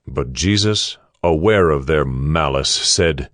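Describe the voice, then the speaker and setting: A man narrating scripture in a deliberate, storytelling way. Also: very deep voice, fairly bright tone.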